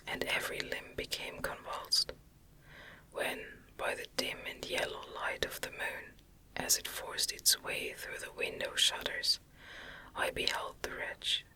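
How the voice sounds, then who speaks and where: ASMR reader for gothic classic: whispers throughout, very slow and deliberate, soft delivery. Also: poor audio. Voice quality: breathy, unvoiced whisper. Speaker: ASMR narrator reading a novel aloud. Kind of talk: whispered ASMR audiobook reading of gothic fiction.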